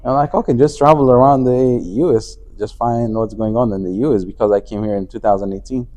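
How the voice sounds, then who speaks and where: A man's voice: mid-range voice, steady tone, fairly quick, warm tone. A man from Afghanistan, a company CEO, talking as a guest in a casual interview, with many fillers and restarts. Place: conference venue.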